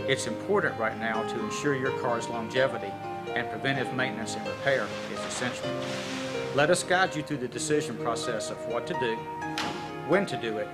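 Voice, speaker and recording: A man delivering scripted promotional narration as a business spokesman. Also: medium pitch. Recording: loud music behind the voice. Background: loud guitar music.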